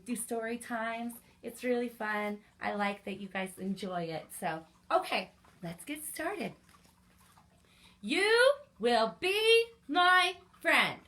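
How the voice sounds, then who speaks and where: A woman's voice: on the high side, sharp tone. A woman hosting a library story time, reading aloud and chatting directly to young children with frequent exclamations.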